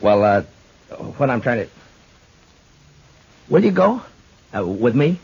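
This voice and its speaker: An actor playing a plainspoken, earnest frontier marshal with an American accent and a halting manner in a 1950s radio western. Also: male voice, sing-song, vocal fry, darkish tone, breathy edge, full character voice. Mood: nervous, halting. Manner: halting, low-key, awkwardly sincere. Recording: dull 1950s radio recording, music.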